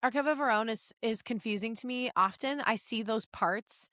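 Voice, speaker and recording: Higher-voiced woman podcast co-host, close and dry. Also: normal speed, fairly bright tone, no echo. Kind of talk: casual banter among friends.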